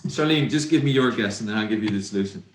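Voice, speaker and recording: A middle-aged man from Belgium, a native Dutch speaker with a Flemish accent, presenting at a virtual conference in a measured, reflective way with many ums. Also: on the low side, noisy background. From Belgium (Flanders). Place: home office, indoors. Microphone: video call. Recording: roomy video-call audio.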